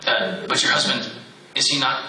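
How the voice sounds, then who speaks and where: An actor playing a jittery, superstitious Irish caretaker in a comic radio play, stammering and laughing nervously. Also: male voice, mid-range voice, normal speed, sharp tone, a little hiss or hum. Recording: echoey far-off stage mic with music.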